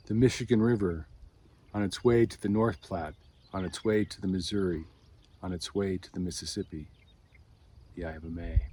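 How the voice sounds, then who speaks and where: A man reading a poem as a poet, in measured, deliberate phrases with pauses between them. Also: lowish voice, darkish tone. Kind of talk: poetry reading. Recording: clear outdoor background noise.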